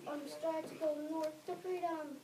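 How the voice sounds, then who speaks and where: A young girl reading the part of an enslaved farmhand who longs for freedom, in a halting school readers theater delivery. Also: high-pitched, fairly even intonation, a crowd in the background. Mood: hopeful. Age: child, about 8 to 9. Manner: halting read-aloud with repeated words. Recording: echoey noisy room from far off.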